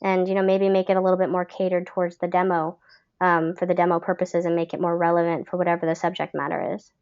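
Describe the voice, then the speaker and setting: A woman's voice: on the low side, little pitch movement, quick pace, a little mellow, clean tone, highs cut off. A woman coaching voice actors in a live webinar, answering questions in a friendly, chatty way with many fillers. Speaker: voice acting coach. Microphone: webcam call.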